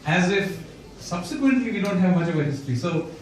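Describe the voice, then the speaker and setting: A man, an author at a bookstore event, talks about his book and reads a passage from it, pausing often and restarting his sentences. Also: high-pitched, animated, slight background noise. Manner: thoughtful, with pauses and restarts. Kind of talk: talk and reading from his book. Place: bookstore event room, indoors. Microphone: distant mic or none. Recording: very echoey room, voice from far off.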